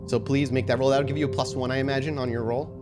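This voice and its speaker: A man's voice: medium pitch, level tone, quick pace. Man narrating a tabletop game over music. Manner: descriptive, conversational, cinematic scene-setting. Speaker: game master.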